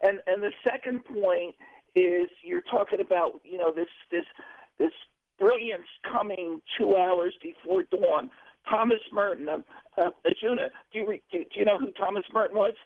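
A man's voice: high-pitched, expressive, normal speed, creaky, no echo. A man calling in to a radio show, effusive and rambling, stumbling over his words. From Pennsylvania. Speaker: caller. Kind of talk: call-in radio question. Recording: dry tinny phone line.

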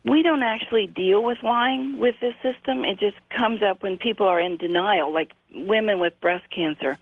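A woman's voice: medium pitch, moderate pace, dry recording. A woman in her sixties, a radio guest, explaining things patiently with frequent hesitations over a phone line. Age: 60s.

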